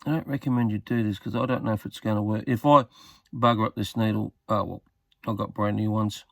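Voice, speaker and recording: A man talks through a hands-on job in a casual, tentative way. His voice sits fairly low with plenty of vocal fry. He mutters as he works, and the sound is very dry, with hardly any room on it. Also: steady tone, brisk, fairly bright tone, no echo. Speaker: hobbyist demonstrating a radio repair.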